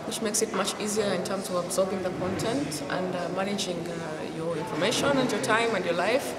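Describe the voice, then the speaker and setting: A woman's voice: medium pitch, a little fast, over a noisy crowd. Woman in an echoey conference hall. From Uganda. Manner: thoughtful, hesitant with many fillers. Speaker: conference attendee interviewed. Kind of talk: unscripted interview answer. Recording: echoey hall with loud background noise.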